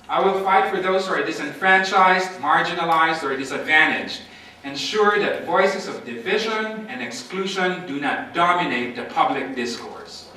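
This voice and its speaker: Emphatic campaign speech, echoey and distant. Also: male voice, on the high side, sharp tone, a little hiss or hum. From Philippines. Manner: formal, emphatic oratory with lists. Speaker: political candidate. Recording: echoey amateur recording from far off.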